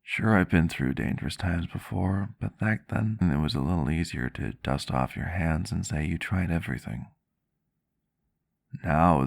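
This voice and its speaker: Gentle vampire doctor played by a man, bottomless bass, soothing and courteous, close dry ASMR recording. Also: vocal fry, dry recording. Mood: weary, reflective. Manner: soft-spoken, formal wording, reassuring. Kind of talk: roleplay ASMR, soft intimate acting. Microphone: close microphone, dry sound.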